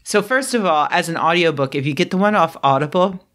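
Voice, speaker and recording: A woman's voice: lowish voice, a little fast, fairly bright tone. A young adult woman chatting unscripted on her channel, a bit throaty from a cold, rambling with fillers and self-deprecating asides. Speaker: book vlogger. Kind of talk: unscripted casual vlog.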